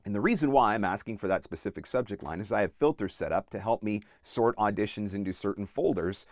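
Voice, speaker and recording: A man in his forties giving instructional talk as a coach and host, deliberate and emphatic on key points. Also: fairly low pitch, no room sound. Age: 40s. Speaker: voice-over coach and channel host.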